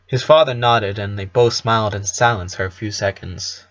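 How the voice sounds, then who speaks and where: A man's voice: fairly low pitch, moderate pace, a bit bright, muffled recording. A man narrating a scripted fan fiction story.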